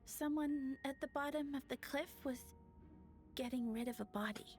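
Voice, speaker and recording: An actress playing a timid young woman witness in a game, hesitant, halting and apologetic. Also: high voice, darkish tone, faint music behind. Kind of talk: video game voice acting.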